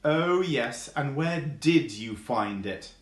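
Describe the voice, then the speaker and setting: A man's voice: mid-range voice, expressive, takes it slowly, roomy sound. A man voicing a protective, heroic dog in a children's story, firm and scolding. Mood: stern.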